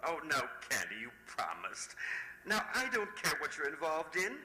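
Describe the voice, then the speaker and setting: A man's voice: on the high side, wildly swooping pitch, normal speed. An actor playing a fussy, affected man in 1940s radio comedy-drama, theatrical and coaxing with pet names. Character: fussy, flamboyant, affected male photographer friend. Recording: noisy old radio recording.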